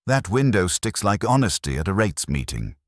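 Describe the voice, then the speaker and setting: A man's voice: lowish voice, very animated, normal speed, no room sound, voice pushed up. A man voicing a weary, grumbling older male caretaker in an audio story, dry, clipped and grudging. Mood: dry, sardonic.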